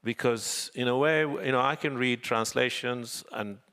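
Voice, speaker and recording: A man's voice: mid-range voice, bright, forceful tone, clear, resonant tone. An older man whose first language is Swahili, speaking thoughtfully with hedges as a guest on a panel. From Zanzibar. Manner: thoughtful, measured, with frequent hedges. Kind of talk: panel discussion. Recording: reverberant hall.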